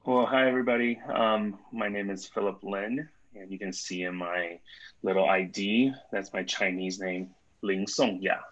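A man in his thirties from Taiwan introduces himself to a webinar audience. He is friendly and slips in little jokes. His voice is fairly low, and the video call audio is dull. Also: steady tone, moderate pace, fairly bright tone. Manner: friendly and joking. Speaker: business development officer and co-presenter. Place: indoors on a video call with a virtual coastal background. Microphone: computer mic on a video call.

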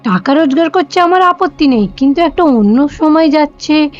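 A woman acts out a nosy middle-aged mother-in-law in a cartoon, always questioning and finding fault. The voice is high, with lo-fi sound and music behind. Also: expressive, moderate pace. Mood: grumbling.

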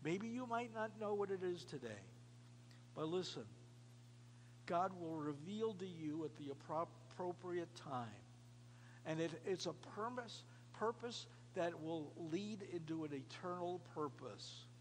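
American guy in his 50s preaching, earnest n emphatic, slightly high voice, pretty noisy hummy room recording. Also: animated, unhurried. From New York, United States. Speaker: pastor. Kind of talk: sermon to a small congregation. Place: indoors, cafeteria used as a church hall.

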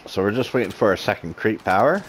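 A man with a very low voice gives laid-back gameplay commentary, chatting to his viewers and poking fun at himself. A faint noise runs under the recording. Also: expressive, quick pace. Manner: laid-back, self-mocking, talks to viewers. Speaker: gaming commentator.

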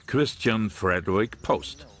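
A man's voice: lowish voice, slow. A man reading scripted historical narration for a documentary. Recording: music behind the voice.

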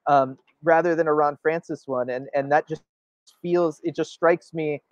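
A man's voice: on the high side, fairly even intonation, normal speed, no room sound. A man co-hosting a sports show, giving emphatic opinionated commentary and often checking for agreement. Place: indoor room, video call.

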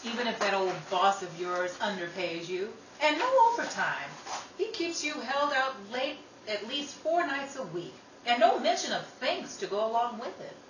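A woman playing an outspoken, quick-tempered young working sister in an old-time radio style drama, brisk and dramatic. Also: fairly high pitch, sing-song. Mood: wry, chatty. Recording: roomy, distant mic, noisy.

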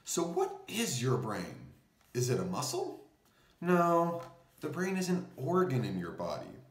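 A man's voice: mid-range voice, huge pitch swings, a little slow, reverberant. A man reading a children's picture book aloud, explaining things as he goes. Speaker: storybook reader.

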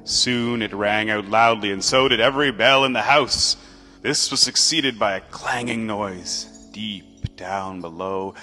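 A man reads a classic tale as a dramatic narrator. His voice is very low yet forceful, measured and emphatic. It rings in a long reverb. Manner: theatrical, measured, emphatic storytelling. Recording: long reverb, music underneath.

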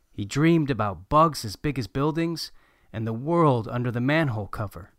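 Animated man reading a picture book, dry sound. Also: medium pitch, no echo. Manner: animated storytelling. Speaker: read-aloud host. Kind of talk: expressive picture book narration.

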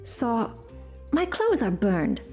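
A bold young tanner who talks his way out of trouble, wry and quick, as a woman puts him on. The voice is soft and mellow, only a little raised above her usual tone. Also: on the high side, huge pitch swings, faint music behind, exaggerated voice. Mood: indignant.

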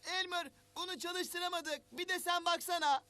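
A woman voicing a playful, eager little child in a cartoon, with an excitable way of talking. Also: extremely high pitch, normal speed. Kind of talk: cartoon voice acting.

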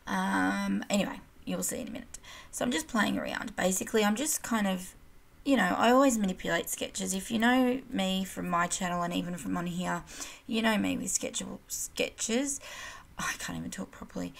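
Woman crafter, hoarse gravelly voice, loose chatty voiceover, middle pitch. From Australia. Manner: chatty, rambling, self-deprecating, many ums. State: croaky, losing her voice. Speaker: kit club design team crafter. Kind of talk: casual scrapbooking voiceover. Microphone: voiceover recorded separately from hands-on video.

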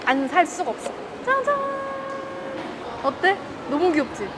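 High-pitched woman bantering on a vlog. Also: very animated, unhurried. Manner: playful bantering. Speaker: vlog co-host, wife in a couple channel. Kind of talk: casual vlog chat. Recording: busy noisy urban public places.

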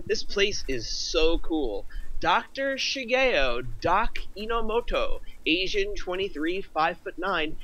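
A man's voice: a little high, bright, forceful tone, low-quality recording. A middle-aged man reading stage directions aloud as narrator of a script read. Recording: faint background noise.

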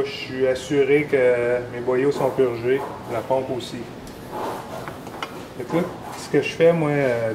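A man's voice: medium pitch, background noise. A man in his forties with a Québécois French accent, explaining a repair step by step in a casual, rambling way with fillers, recorded in a workshop. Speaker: snowmobile mechanic. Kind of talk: hands-on repair tutorial. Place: indoor workshop garage.

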